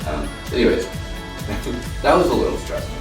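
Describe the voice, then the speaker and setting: Man, low voice, rambling casual vlogger, distant mic. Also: sing-song, normal speed. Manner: rambling, laughs often. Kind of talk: casual chat. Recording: echoey room, mic far off, loud music.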